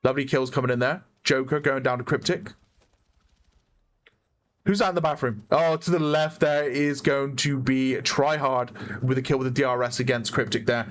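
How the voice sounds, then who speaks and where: A man with a hoarse voice giving chatty play-by-play commentary on a competitive online shooter match, often correcting himself. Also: medium pitch, fairly even intonation, moderate pace. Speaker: esports caster. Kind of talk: live game commentary.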